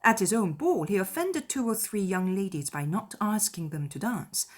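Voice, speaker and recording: A woman voicing a witty, spirited young gentlewoman with an emphatic, ironic delivery in a dramatized classic novel. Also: medium pitch, expressive, normal speed, close to an everyday voice. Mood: playful, arch.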